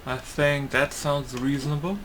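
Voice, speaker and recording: A man's voice: medium pitch, little pitch movement, a little slow, noisy background. A man giving casual gameplay commentary, thinking aloud in a hesitant way. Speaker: gamer recording a playthrough.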